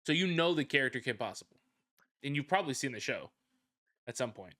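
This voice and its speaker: A man's voice: mid-range voice, lively intonation, fast, pushed and bright, dry recording, clean studio sound. Playful bilingual young man co-hosting. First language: Spanish. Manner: playful, boastful banter. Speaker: podcast co-host. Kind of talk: casual chat.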